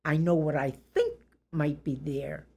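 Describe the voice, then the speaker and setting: An elderly woman with a Boston accent, reflecting on her life in an interview in a deliberate, plain-spoken, good-humored way. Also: deep voice, fairly even intonation, vocal fry, darkish tone, husky. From Boston area, USA.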